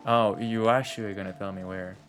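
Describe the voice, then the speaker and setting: A man's voice: fairly low pitch, fairly even intonation, moderate pace, fairly bright tone. A man giving casual live game commentary, rambling to himself and swearing now and then. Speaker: game streamer. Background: faint game music.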